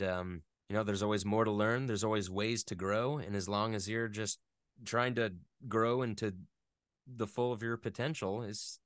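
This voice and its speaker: Guy with a lowish smooth voice, casual interview chat, thinks out loud, really dry close sound. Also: dry recording. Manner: thoughtful, halting, searching for words. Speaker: interview guest, video game voice actor.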